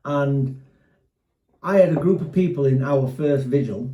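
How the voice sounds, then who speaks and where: A man's voice: mid-range voice, unhurried, warm tone, echoey room, poor audio. A middle-aged man from Sheffield with a Yorkshire accent, co-hosting a casual podcast in a rambling, self-mocking way. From Sheffield, England. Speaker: podcast co-host. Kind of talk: casual chat.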